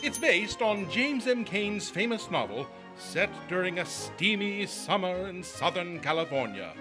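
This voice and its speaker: A man's voice: high-pitched, very animated, unhurried. A man playing a grand, showy 1940s radio show announcer introducing a drama. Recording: music playing behind. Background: prominent music.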